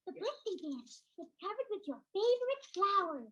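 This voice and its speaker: A woman's voice: very high-pitched, sing-song, moderate pace, bright, forceful tone, dull audio, full character voice. A young woman playing an eager, chirpy little girl in a 1940s children's radio show. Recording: distant, echoey, noisy old radio recording.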